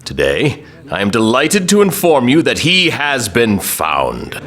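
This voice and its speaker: An actor playing a pompous lord general in an audio drama, giving a formal, oratorical speech. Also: male voice, mid-range voice, wildly swooping pitch, measured pace, noisy background. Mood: proud, solemn. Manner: grandiose, oratorical. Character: pompous male lord general addressing a crowd.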